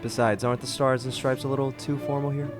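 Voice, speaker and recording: A man's voice: mid-range voice, little pitch movement, fast, over loud music, close to an everyday voice. A man playing a vain, sarcastic tech specialist with a flippant manner in a radio play.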